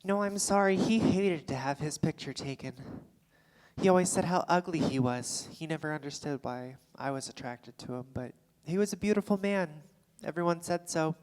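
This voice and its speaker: A woman's voice: deep voice, animated, moderate pace, darkish tone. A woman in a staged play reading, acting a grieving, wounded wife. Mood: wistful, hurt. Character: grieving, wounded wife recalling her husband. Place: theatre stage. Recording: reverberant theatre hall recording.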